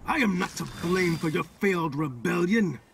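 A man's voice: sits high, expressive, moderate pace, vocal fry, noisy background. An actor playing an arrogant, scheming male warrior demigod in a fighting game, imperious and insistent. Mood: defensive, haughty. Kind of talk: fighting game character dialogue. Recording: game audio with background effects.

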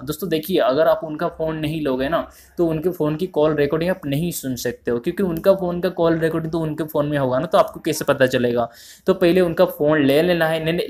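Guy doing a casual phone how-to, voice a bit high, repeats steps, talks to viewers like friends. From India. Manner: repetitive, step-by-step explaining, addressing viewers as friends. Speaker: tutorial host. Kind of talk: informal phone app tutorial narration.

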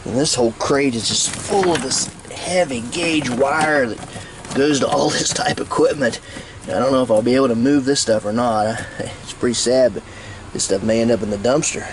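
A man giving a casual, folksy and excited walkthrough commentary, rambling as he goes like a vlogger. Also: mid-range voice, moderate pace. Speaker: YouTube vlogger and reseller. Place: metal storage unit, handheld camera. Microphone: handheld camera mic. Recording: noisy handheld camera audio.

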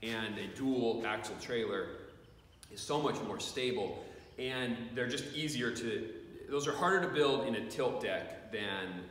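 A man's voice: sits low, fairly bright tone, a little hiss or hum. Man talking in an echoey farm shed. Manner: rambling, plainspoken, many fillers and restarts. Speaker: beekeeper reviewing equipment. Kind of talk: casual equipment review. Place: large open farm shed. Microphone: camera at a distance. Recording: echoey shed, voice far from camera.

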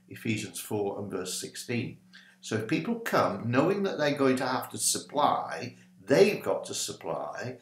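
A man's voice: lowish voice, reverberant. An older man, a minister, giving an ad-libbed teaching talk to a webcam in a small home study, gesturing as he talks. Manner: animated, gesturing with both hands, ad-libbed. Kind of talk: informal teaching talk to camera.

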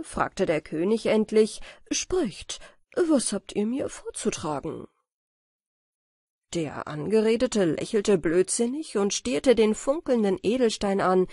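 A woman reading a fairy-tale audiobook as narrator, in an expressive storytelling style. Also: medium pitch, dry recording, studio quality.

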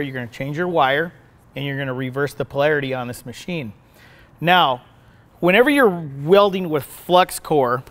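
A man's voice: on the high side, a bit bright. A middle-aged man giving a casual welding demonstration in a workshop, folksy and conversational, often checking in with "okay?". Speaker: welding instructor. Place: large indoor welding workshop.

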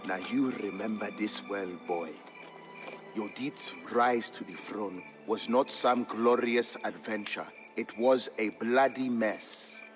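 A man voicing a stern, bitter old merchant and war veteran who recounts things gravely for a game story. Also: lowish voice, takes it slowly, over music. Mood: stern warning.

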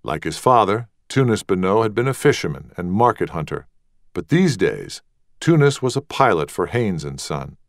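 A man narrating a literary audiobook with measured, deliberate phrasing. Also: low-pitched, sing-song, no room sound, studio quality.

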